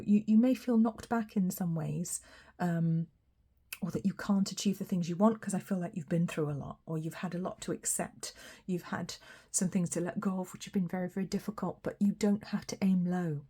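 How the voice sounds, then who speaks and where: Croaky low-voiced woman reading tarot. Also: warm tone. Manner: chatty and encouraging, talks to the viewer directly. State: hoarse, croaky after a cold. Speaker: tarot reader. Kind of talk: casual pick-a-card tarot reading.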